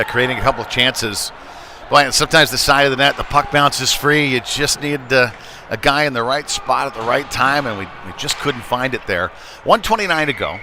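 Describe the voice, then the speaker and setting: Man calling hockey over clear rink noise. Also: medium pitch. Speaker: play-by-play hockey commentator. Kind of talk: live amateur hockey play-by-play commentary. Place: indoor ice rink during a live game. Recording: noisy rink ambience behind voice. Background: clear rink and game noise.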